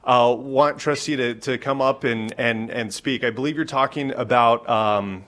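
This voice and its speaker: Halting man chairing a board meeting. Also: mid-range voice, a bit bright. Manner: casual, halting with fillers and repeats.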